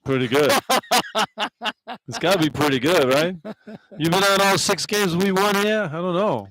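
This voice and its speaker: A man's voice: high voice, a little slow, a bit bright, no echo, poor audio. An older man giving conversational sports analysis as a radio color commentator, speaking into a broadcast headset mic.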